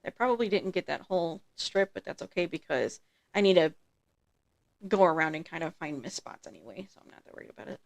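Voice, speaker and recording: A woman streaming a farming game, chatting casually through her gameplay in a relaxed, rambling way, a little congested from allergies. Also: on the low side, brisk, creaky. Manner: relaxed, chatty, trailing off with ums.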